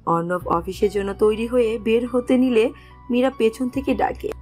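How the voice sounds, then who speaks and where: A woman's voice: a little high, moderate pace, darkish tone. A woman reading scripted narration for a romantic story as its narrator. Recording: music under the voice.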